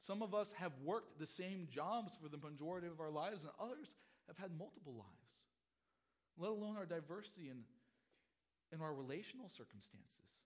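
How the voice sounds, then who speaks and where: A middle-aged pastor preaching a sermon, his voice hoarse from a cold, earnest and expository, often repeating phrases. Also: a little high, expressive, moderate pace.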